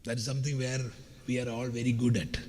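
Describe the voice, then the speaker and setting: A man's voice: mid-range voice, level tone, fast talker, darkish tone. A middle-aged man with a scratchy voice, giving a chatty, joking motivational keynote to an audience. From India. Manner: chatty, anecdotal and joking, addressing the audience directly. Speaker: keynote speaker. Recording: long hall reverb with faint background noise.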